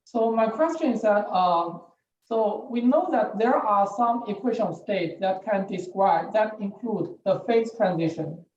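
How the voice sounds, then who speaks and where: A woman puts a technical question to a seminar speaker in tentative, repetitive phrasing. Her mid-pitched voice comes through roomy and away from the microphone, with dull sound and some noise. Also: expressive, measured pace, a little mellow. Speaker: audience questioner. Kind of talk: asking an academic question. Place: online virtual seminar. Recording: roomy, distant, muffled, noisy call audio.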